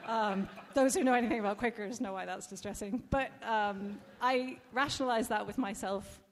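Quiet-voiced woman from the American South. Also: on the high side, a little slow, long pauses. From southern United States. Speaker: panelist. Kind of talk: panel self-introduction. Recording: reverberant hall with faint noise.

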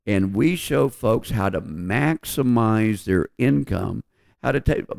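A man's voice: lowish voice, creaky, dark tone, no room sound, clean studio sound. A man explaining finances as an advisor on a talk show, in a folksy, patient way.

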